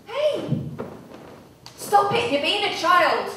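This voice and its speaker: A woman's voice: very high voice, big pitch swings, slow, bright, forceful tone, full character voice. A woman playing a teasing, easily enchanted girlfriend in a live dramatic story performance. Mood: annoyed. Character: Rebecca, teasing girlfriend enchanted by a wild crow. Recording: distant, very echoey hall, faint noise.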